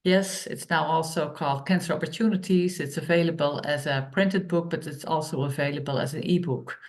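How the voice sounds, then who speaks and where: A woman, co-hosting an online workshop and telling stories in plain, unhurried phrases with many pauses. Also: deep voice. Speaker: workshop co-host and author.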